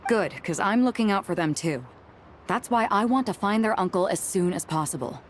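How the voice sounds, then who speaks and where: A woman acting a composed, shrewd, commanding woman in a video game, with a cool, self-assured and measured manner. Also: medium pitch, lively intonation, a little hiss or hum, lightly acted. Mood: firm, assured.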